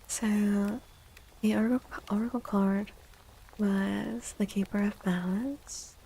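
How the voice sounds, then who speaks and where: A woman speaking softly and breathily in a gentle, soothing ASMR livestream style, often slipping toward a whisper as she hosts and reads tarot. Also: mid-range voice, steady tone, slow, creaky, slight background noise, poor audio. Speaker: livestream host and tarot reader. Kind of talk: soft-spoken ASMR livestream, chatting and reading tarot.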